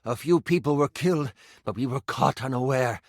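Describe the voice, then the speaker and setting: A man's voice: medium pitch, normal speed, no room sound, clean studio sound, close to an everyday voice. A man voicing a worried female village priest, earnest and urgent, within a fantasy tabletop game. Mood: grave, worried.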